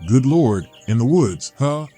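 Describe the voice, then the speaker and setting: Here is a man acting as a kindly older fellow with a mellow, soft-edged voice. His pitch moves around a lot as he talks in a folksy, protective way. It is dramatized audio comic acting. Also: expressive, unhurried, soft background music. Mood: calm, concerned. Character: kindly older homeless man, gruff but protective rescuer.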